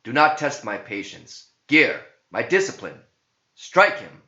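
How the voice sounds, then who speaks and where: Man playing a loud, stern exorcist priest. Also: mid-range voice, very animated, measured pace, pitched above the performer's own voice. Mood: stern, impatient. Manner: commanding, impatient. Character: stern commanding male exorcist priest in brown robe. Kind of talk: dramatic verse storytelling. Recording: muffled, echoey home recording.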